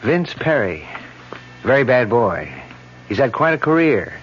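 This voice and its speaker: A weary police detective. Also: male voice, medium pitch, sing-song, unhurried, soft background music. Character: tired male police detective. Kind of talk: 1970s radio drama acting. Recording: dull vintage radio broadcast with faint noise.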